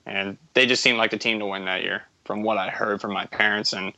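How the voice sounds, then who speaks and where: A man's voice: on the low side, fairly even intonation, brisk, bright, forceful tone, no echo, rough recording. A man in his early twenties co-hosting a casual sports podcast, talking in a rambling, self-correcting, enthusiastic way. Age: early 20s. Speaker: podcast co-host.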